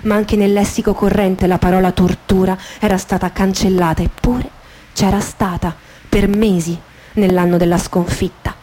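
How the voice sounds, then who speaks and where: Measured woman reading dramatically over music. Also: medium pitch, steady tone, dark tone. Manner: measured, short phrases with deliberate pauses. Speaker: actress reading a dramatised memoir. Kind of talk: dramatic literary narration, radio theatre. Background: guitar music behind the voice.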